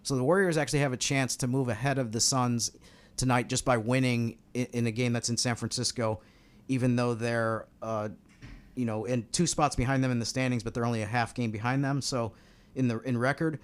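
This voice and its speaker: A man's voice: medium pitch, fairly even intonation, brisk. An American man speaking as a sports podcast analyst in a casual, conversational style. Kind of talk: casual basketball talk.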